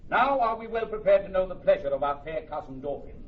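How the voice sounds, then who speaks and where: An actor playing a proud, steely young English king in a declamatory, measured Shakespearean radio drama style. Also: high-pitched, animated. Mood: regal resolve. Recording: dull, faintly noisy old radio recording, distant.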